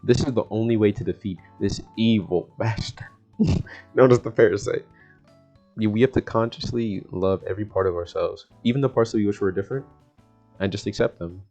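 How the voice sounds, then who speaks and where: Young male vlogger, lowish voice, loose rambling motivational chat, muffled lo-fi audio. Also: a little fast, mellow. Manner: rambling and repetitive, often chuckling mid-sentence. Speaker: vlogger giving self-help talk. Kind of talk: casual, slangy motivational chat to the audience. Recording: dull, lo-fi sound with music underneath. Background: background music.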